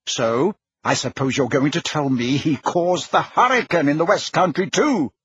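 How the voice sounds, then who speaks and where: A man's voice: sits high, wildly swooping pitch, moderate pace, fairly bright tone, lo-fi sound, voice pushed up, heavily acted. A man voicing an indignant, exasperated prime minister in an audiobook, blustering and incredulous. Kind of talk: audiobook character acting.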